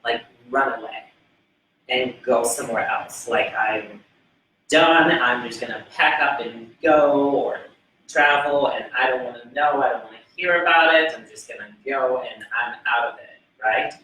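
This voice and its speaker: A Brazilian man with a New York-tinged accent, hosting a casual cast discussion with rambling, animated stories. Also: mid-range voice, unhurried. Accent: Brazilian, New York influenced. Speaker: interviewer and film writer. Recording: echoey room, mic at a distance, faint noise.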